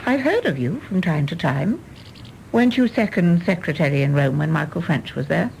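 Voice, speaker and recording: A woman playing a composed, wistful and candid English widow in a radio drama, with an English accent and a measured, genteel manner. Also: on the low side, lively intonation, vocal fry, a little mellow, slight background noise, poor audio. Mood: candid, curious. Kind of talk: British stage-play radio drama acting.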